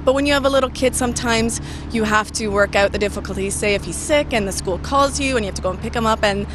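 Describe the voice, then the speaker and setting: A woman's voice: fairly high pitch, quick pace. A woman from Canada with a Canadian accent, a TV traffic reporter giving chatty interview answers and mixing in Albanian words. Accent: Canadian English. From Canada, of Albanian parents from North Macedonia. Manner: chatty, switches between English and Albanian.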